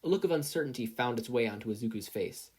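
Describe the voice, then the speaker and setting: A narrator reading a scripted story aloud in an expressive storytelling manner. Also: mid-range voice, fast, breathy edge. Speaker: podfic narrator.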